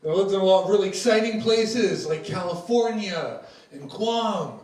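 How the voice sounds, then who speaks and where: A man's voice: sits high, moderate pace, darkish tone. A man with an American accent tinged with Scottish, doing a brash, profane stand-up set and bantering with the crowd. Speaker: stand-up comedian. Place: comedy club stage. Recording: echoey room, distant mic, audience laughter. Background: crowd laughter.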